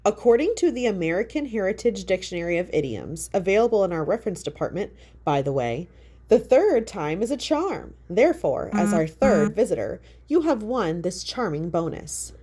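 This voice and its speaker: A woman voicing a jolly, booming announcer voice from the ceiling speakers. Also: sing-song, moderate pace, dark tone, lightly acted. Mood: explaining.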